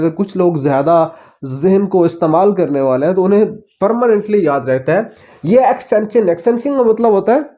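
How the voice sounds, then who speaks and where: Pakistani man, English vocabulary teacher, unhurried delivery, warm mellow tone, repeats words and asks questions. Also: high-pitched. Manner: repeats each word several times and asks the listener questions. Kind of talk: slow classroom-style vocabulary lesson in Urdu and English.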